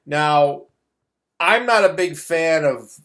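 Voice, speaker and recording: A man's voice: a little high, animated, takes it slowly, a bit bright. A middle-aged man hosting a casual movie review, ranting in a blunt, scornful, exasperated way. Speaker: host of a movie review show. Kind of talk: casual opinionated movie rant.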